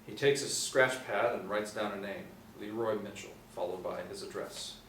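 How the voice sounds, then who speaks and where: A man's voice: on the low side, normal speed, fairly bright tone. A middle-aged man reading out screenplay action as a scripted narrator at a table read. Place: small indoor room, seated at table read. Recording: roomy, distant mic, noisy ambience.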